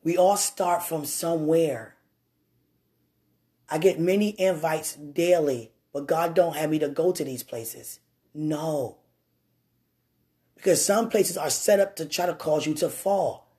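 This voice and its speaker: Woman preacher, deep voice, animated testimony style, loud then hushed. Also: takes it slowly, pauses often.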